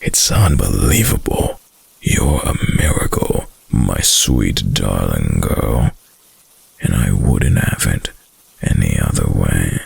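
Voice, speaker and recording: An extremely deep, breathy wolf-boy boyfriend, acted by a man for an ASMR roleplay, full of heavy gravel. He murmurs slowly and intimately, doting and possessive, with a dominant streak. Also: sing-song, full character voice. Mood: possessive cooing.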